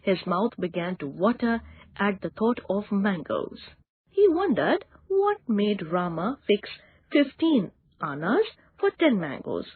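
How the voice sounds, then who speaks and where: A woman's voice: medium pitch, sing-song, slow, slightly rough, no room sound. A woman narrating a children's story as a scripted storyteller. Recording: band-limited, tinny, lo-fi audio.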